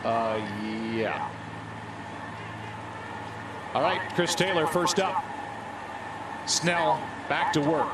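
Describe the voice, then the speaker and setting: A man's voice: on the high side, lively intonation, extremely slow, long pauses, heavy background noise. A man with an American accent calling live baseball play-by-play as a sports announcer.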